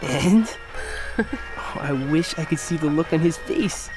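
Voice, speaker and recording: An actor in a radio drama playing a plain-spoken young friend, casual and full of blunt questions. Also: male voice, fairly high pitch, lively intonation, measured pace, over music. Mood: curious, relaxed.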